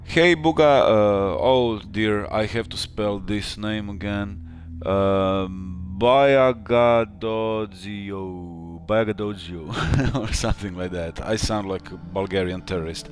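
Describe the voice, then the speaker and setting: Slow-talking man game developer. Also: fairly low pitch, faint music behind. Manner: thoughtful, many fillers. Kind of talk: casual live stream chat.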